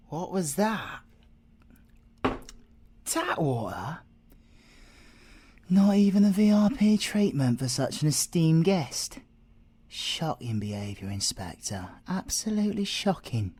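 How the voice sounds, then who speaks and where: Husky, cocky British male siren played by an actor. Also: fairly high pitch, a little mellow, exaggerated voice. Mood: playful, sarcastic. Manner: teasing, theatrical, drops into whispers. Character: cocky, flirtatious male siren under police interrogation. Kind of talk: ASMR audio roleplay.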